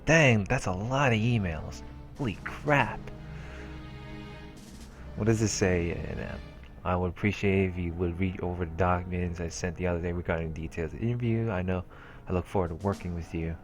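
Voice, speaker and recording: A man with a low-pitched voice hosts a let's play, reading story text aloud and adding casual remarks. He sometimes trips over and repeats words, with game music behind him. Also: normal speed.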